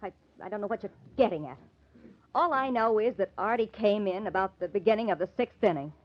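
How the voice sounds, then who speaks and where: A woman's voice: mid-range voice, expressive, fairly quick, lightly acted. A woman acting a defensive, jittery suspect in an old-time radio crime drama, protesting as she talks. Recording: dull vintage radio recording.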